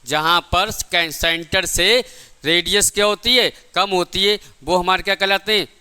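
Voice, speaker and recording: A man's voice: high-pitched, fairly even intonation, normal speed, sharp tone, smooth. A man teaching a lecture in a didactic way, repeating points and asking rhetorical questions. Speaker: physics teacher for exam coaching. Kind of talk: classroom lecture.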